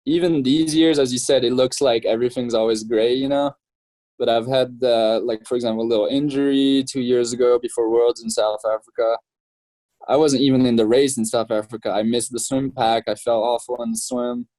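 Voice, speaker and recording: A relaxed young man from France talks through his story in a loose, chatty way, full of fillers and easy laughter. His voice sits at an ordinary middle pitch, and the recording is close and dry with no room around it. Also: a little fast, no echo, rough recording. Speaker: professional triathlete, guest. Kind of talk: casual interview chat.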